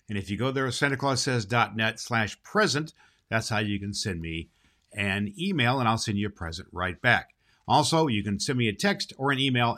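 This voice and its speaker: A man's voice: fairly low pitch, pushed and bright. A man playing a jolly, kindly old Santa Claus hosting a children's holiday show, folksy and emphatic. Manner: folksy, emphatic, repeats phrases. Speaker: host.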